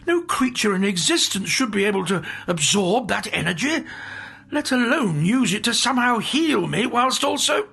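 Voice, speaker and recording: An excitable, flustered time traveler rambles in a raspy, gravelly voice. His tone is bright and sharp, and his pitch leaps around. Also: normal speed, full character voice.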